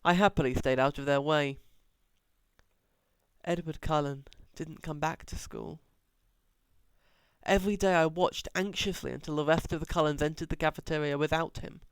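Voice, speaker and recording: A man's voice: fairly high pitch, moderate pace, pauses often, clean tone, no echo. A man narrating an audiobook as an amateur reader, sarcastic, with mocking, exaggerated emphasis.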